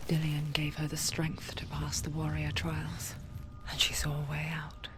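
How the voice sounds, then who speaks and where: A woman playing a hushed inner voice, narrating a game story in an intimate, breathy whisper. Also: sits low, monotone, unhurried, background noise. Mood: ominous.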